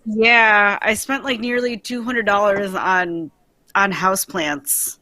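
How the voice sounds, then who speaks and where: A woman chatting casually with friends on a livestream call, wry and deadpan about her own news. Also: mid-range voice, slow pace, no echo. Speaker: cast member. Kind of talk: casual chat.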